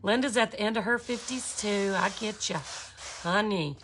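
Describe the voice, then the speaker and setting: A woman's voice: mid-range voice, moderate pace, a bit bright, a little hiss or hum. A cheerful woman, teaching glass art on a livestream and chatting with viewers. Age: 50s.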